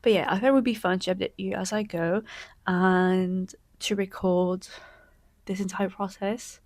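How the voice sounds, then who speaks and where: Congested, excitable woman with a cold. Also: mid-range voice, moderate pace. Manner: gushing and excitable, often dropping to a soft whisper. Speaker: knitting vlogger. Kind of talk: casual vlog chat to camera.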